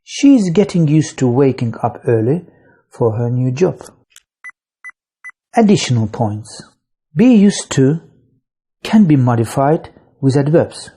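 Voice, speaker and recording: A narrator reading an English grammar lesson slowly and deliberately from a script, with example sentences. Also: mid-range voice, big pitch swings, mellow, husky. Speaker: English teacher.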